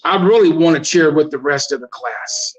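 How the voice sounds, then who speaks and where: A man performing a monologue as an exasperated, fatherly high school teacher, scolding and sardonic, over a video call. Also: moderate pace, natural voice, low-quality recording. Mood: concerned, confiding.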